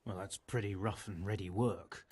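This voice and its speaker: A man's voice: lowish voice, fairly even intonation, moderate pace, darkish tone, slight background noise, close to an everyday voice. An actor playing a matter-of-fact medieval master craftsman in a video game, a little dismissive.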